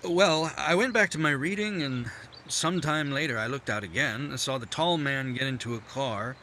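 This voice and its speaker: A man's voice: mid-range voice, moderate pace, sharp tone, a little hiss or hum, close to an everyday voice. A man playing a nervous, scheming newspaperman. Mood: guarded. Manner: smooth but hesitant, stammering "I, I". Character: nervous, scheming middle-aged male newspaperman. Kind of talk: 1950s radio mystery acting. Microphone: headset.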